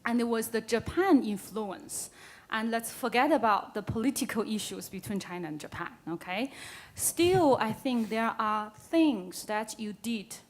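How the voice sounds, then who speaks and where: A woman with a fairly high voice speaks up on a conference panel. She frames a long question in simple, non-native English and laughs often between her points. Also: animated, measured pace. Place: conference room.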